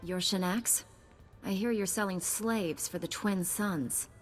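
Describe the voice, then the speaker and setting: An actress playing a calm, resolute and compassionate female space knight in a video game. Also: medium pitch, slow pace, faint music behind, natural voice.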